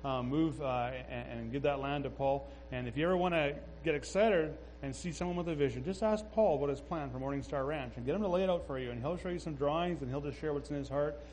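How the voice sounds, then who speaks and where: A man's voice: a little high, fast, hardly any pauses, a little mellow, a little hiss or hum, poor audio. A man leading a church sharing time, affirming speakers with amens and inviting the next one up. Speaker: pastor leading testimonies. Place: church service.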